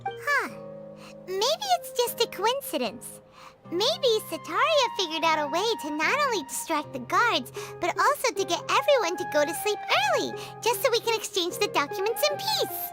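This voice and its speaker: A woman voicing a small, excitable, bossy fairy companion with a squeaky childlike voice in an anime game. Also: normal speed. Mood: curious, uneasy. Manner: exclamatory, expressive, refers to self in third person. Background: game background music.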